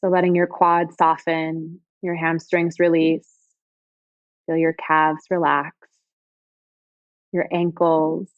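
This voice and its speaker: Low-voiced woman guiding a gentle meditation. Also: completely flat delivery, dry recording, dull audio. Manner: soothing, gentle, unhurried phrasing with pauses. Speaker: yoga teacher guiding meditation. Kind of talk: guided body scan meditation.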